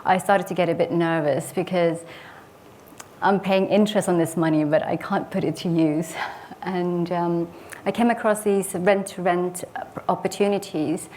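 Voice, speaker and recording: Earnest, low-voiced woman telling her story. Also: fairly even intonation, normal speed, darkish tone, slight background noise. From Sweden. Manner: earnest and reflective, with frequent hesitant fillers. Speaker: property investor giving a case study talk. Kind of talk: personal case study presentation.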